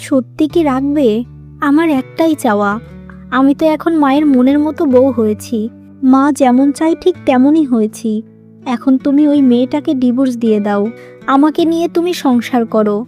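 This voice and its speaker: A woman's voice: high-pitched, normal speed, dark tone, faint music behind, lightly acted. A woman voicing an emotional, plaintive young modern wife in a moral-story cartoon. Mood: pleading.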